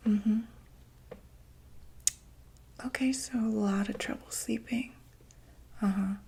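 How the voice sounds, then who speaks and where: A woman playing a calm doctor for monsters, asking gentle, patient questions in a soft unvoiced whisper for an ASMR roleplay. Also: medium pitch, creaky, slight background noise.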